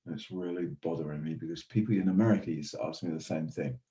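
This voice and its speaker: A man with a very deep, smoky, raspy voice and a hybrid British-Australian accent chats as a podcast co-host. His tone is dark and mellow. He teases dryly and slips into playful bits of French. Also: quick pace, reverberant. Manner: dry, teasing, drops into playful French. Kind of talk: casual chat.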